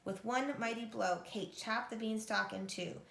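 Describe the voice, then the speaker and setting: A woman reading a storybook aloud to children with expressive narration. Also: fairly high pitch, a little slow, creaky. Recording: echoey room.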